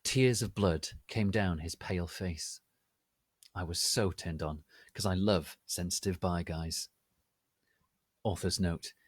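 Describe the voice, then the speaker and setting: A man narrating a story as a dramatic reading, in a deliberate and theatrical manner. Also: deep voice, no echo, studio quality.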